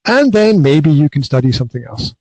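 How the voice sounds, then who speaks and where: A man's voice: a little high, sing-song, fairly quick, a little mellow, no room sound. Chatty man on a call, dry sound. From Britain. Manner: chatty, repeats words when restarting. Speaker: career and language coach. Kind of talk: casual coaching call. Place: home with kids nearby.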